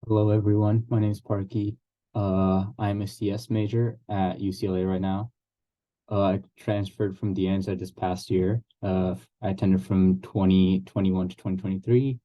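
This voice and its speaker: A man's voice: low-pitched, little pitch movement, normal speed, mellow. A young man introducing himself on a student panel, hesitant with many "uh"s. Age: young adult.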